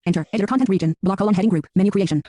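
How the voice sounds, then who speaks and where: Synthetic screen reader: robotic, flat announcements at breakneck speed, completely dry sound. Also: mid-range voice, racing, no echo. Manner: flat robotic reading of interface labels.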